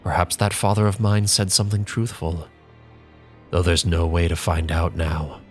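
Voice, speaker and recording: A man giving a dramatic first-person reading, measured, bitter and reflective. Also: sits low, flat delivery, soft background music. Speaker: reader.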